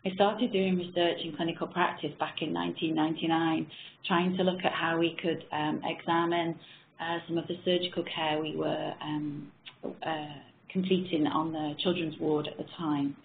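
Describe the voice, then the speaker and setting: A middle-aged woman from the UK with a quiet, husky voice, giving a webinar presentation in a measured way with frequent ums. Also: low voice, level tone, a little hiss or hum. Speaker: presenter, nurse researcher. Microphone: computer mic on a web call. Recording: echoey tinny online call audio.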